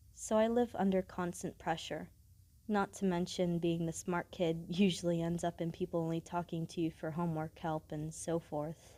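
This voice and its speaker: A young woman playing a blunt, guarded, socially awkward studious schoolgirl in an audio roleplay, with a halting, matter-of-fact manner. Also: on the low side, warm tone, no echo, natural voice. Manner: halting, hesitant, matter-of-fact, formal wording. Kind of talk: scripted audio roleplay, addressing the listener directly.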